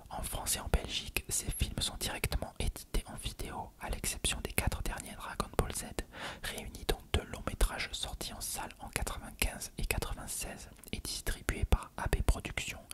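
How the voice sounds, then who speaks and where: An ASMR narrator reads an encyclopedia-style article entirely in an unvoiced whisper. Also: moderate pace.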